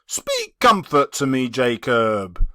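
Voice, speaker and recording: A man's voice: mid-range voice, huge pitch swings, takes it slowly, pushed and bright, dry recording, clean studio sound, heavily acted. A man voicing a frightened, pleading old miser in a dramatic audiobook, with a trembling, faltering manner. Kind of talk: dramatic audiobook character reading.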